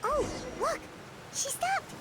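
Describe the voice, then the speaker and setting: A woman voicing a small, excitable, timid fairy companion with a squeaky childlike voice in a video game, music behind her. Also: slow, full character voice. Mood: excited, alarmed. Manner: exclamatory, speaks of self in third person.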